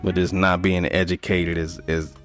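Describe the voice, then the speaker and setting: A man's voice: deep voice, flat delivery, normal speed, faint music behind. A man in his thirties co-hosting a podcast, chatting casually and giving blunt, profane life advice. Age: 30s. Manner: laid-back, profane, advice-giving storyteller. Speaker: podcast co-host. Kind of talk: casual chat.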